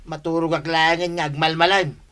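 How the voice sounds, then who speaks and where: A lazy village husband acted by a man in a comedy radio drama. He grumbles sleepily in a raised, brightly strained voice. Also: fairly high pitch, flat delivery, brisk, clear, resonant tone. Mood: grumbling.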